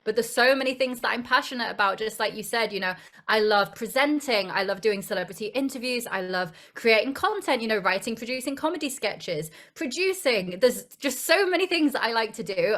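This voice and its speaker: A woman's voice: medium pitch, fairly quick, a bit bright. Enthusiastic young British woman. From United Kingdom. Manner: enthusiastic, fluent, repeats words when starting. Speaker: guest actress. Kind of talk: interview.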